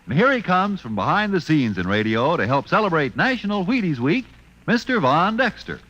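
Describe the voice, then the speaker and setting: A man's voice: fairly high pitch, sing-song, normal speed, a bit bright, lo-fi sound. A man with an American accent announcing a commercial in 1950s radio style.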